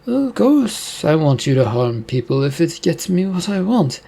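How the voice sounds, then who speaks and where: A man's voice: fairly high pitch, big pitch swings, unhurried, creaky, warm tone, pitched above the performer's own voice, full character voice. A man voicing a scheming male demon who possesses a man, smug and mocking.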